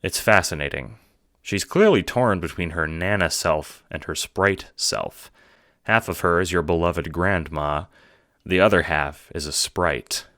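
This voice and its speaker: A man's voice: deep voice, measured pace, a bit bright, dry recording, studio quality. A man reading scripted second-person audiobook narration with a dramatic storytelling delivery.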